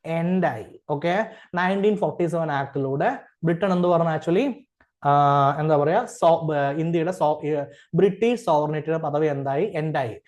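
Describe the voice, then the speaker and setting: A man's voice: fairly high pitch, measured pace, darkish tone. A man with a Malayali accent giving an informal lecture as an exam coach, asking rhetorical questions, often checking for understanding and mixing in English terms. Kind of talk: informal classroom-style lecture.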